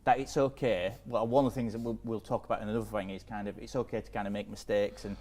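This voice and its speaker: A man's voice: lowish voice, fairly even intonation, fast, a little mellow. A man acting as interviewer in a casual conversation, talking informally and in a roundabout way. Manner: informal, roundabout, frequent hedging phrases.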